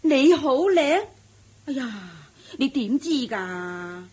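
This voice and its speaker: An actress playing a fussy, superstitious middle-aged maid in a Cantonese radio drama, scolding and easily flustered. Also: female voice, high voice, very animated, extremely slow, a little mellow, breathy edge, heavily acted.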